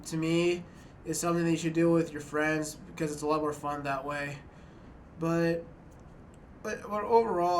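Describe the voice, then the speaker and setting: A young man, a student, talking to the camera in a small room about his documentary, halting and restarting often. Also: on the high side, slight background noise. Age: young adult. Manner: halting, many restarts and fillers. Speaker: student filmmaker. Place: small dorm room indoors.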